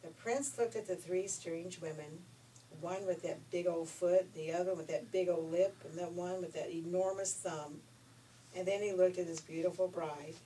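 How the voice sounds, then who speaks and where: A woman reading a children's story in an expressive, folksy way. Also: lowish voice, normal speed. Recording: noisy, distant room recording.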